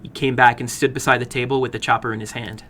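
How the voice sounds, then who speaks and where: A man narrating a short horror story dramatically, with a theatrical, deliberate delivery. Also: mid-range voice, little pitch movement, a bit bright, slight background noise. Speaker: storyteller, horror fiction broadcast host.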